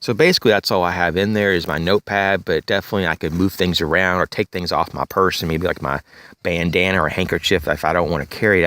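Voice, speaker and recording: A man with a slightly raspy, congested voice, casually reviewing his backpack outdoors, rambling with many fillers and clearing his throat. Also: low voice, fairly bright tone. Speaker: gear reviewer, channel host. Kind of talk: casual show-and-tell gear review. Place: outdoors in leafy woods, bag on a chair.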